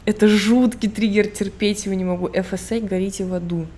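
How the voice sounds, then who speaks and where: A young woman from the Urals co-hosting a casual podcast, animated and loud at times, dropping English phrases into her talk. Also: mid-range voice, a little fast. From Russia (Urals). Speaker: podcast co-host. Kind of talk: casual chat.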